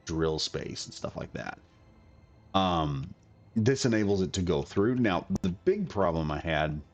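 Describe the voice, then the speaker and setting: A man's voice: sits low, muffled recording. A man recovering from a cold, coughing now and then, giving a rambling, chatty commentary on a game build. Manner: rambling, chatty, self-correcting. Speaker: gaming video creator.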